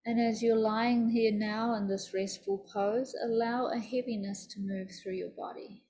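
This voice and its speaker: A woman teaching yoga nidra, leading a guided meditation in a soothing, deliberate, instructive manner. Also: a little high, steady tone, warm tone, slight background noise.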